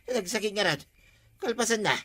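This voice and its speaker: A man's voice: high-pitched, lively intonation, moderate pace, pushed and bright, a little hiss or hum. A man with an Ilocano accent playing a hapless, complaining village husband in a comedy drama. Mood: groaning, rattled.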